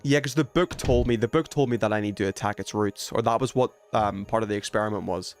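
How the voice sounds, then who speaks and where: A man's voice: on the low side, quick pace, soft background music. A man chatting casually on a game livestream, thinking aloud, questioning himself and trailing off in informal, slangy speech. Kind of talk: casual livestream gameplay chat.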